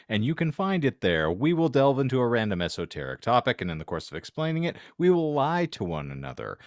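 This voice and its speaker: A man's voice: on the low side, dry recording, clean studio sound. A man hosting a podcast in casual chat, with a wordy, florid, tongue-in-cheek way of talking. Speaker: podcast host.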